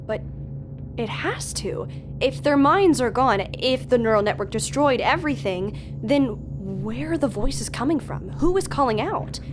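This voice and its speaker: A woman's voice: sits high, lively intonation, a little slow, noisy background. A woman playing a worried, insistent young woman in an audio drama, speaking with urgent, emotionally tense questioning. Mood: tense disbelief. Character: worried, insistent young woman on a tense phone call, narrating her story. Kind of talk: audio drama acting with first-person narration.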